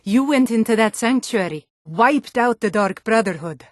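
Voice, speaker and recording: A woman's voice: a little high, expressive, normal speed, dry recording, lightly acted. Woman acting an earnest, hardy fantasy townswoman, dry recording. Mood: wary, confiding. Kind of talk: video game character voice lines.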